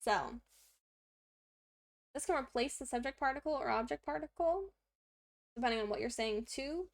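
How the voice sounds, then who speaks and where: Chatty young woman with a gravelly edge. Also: lively intonation, moderate pace. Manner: chatty and self-deprecating, many fillers; talks to a pet in a high baby voice. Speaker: streamer learning Korean. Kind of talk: casual study stream, explaining grammar aloud.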